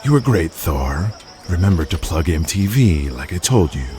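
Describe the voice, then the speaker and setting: A man playing a calculating, commanding wrestling promoter, measured and smug, in an audio drama. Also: sits low, very animated, noisy background. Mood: smooth, controlling. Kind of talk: audio drama character acting.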